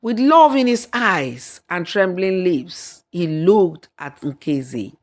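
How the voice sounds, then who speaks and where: A woman narrating a folktale in a deliberate, dramatic storyteller's manner. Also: fairly low pitch, expressive, darkish tone. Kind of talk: scripted folktale narration with acted dialogue and song.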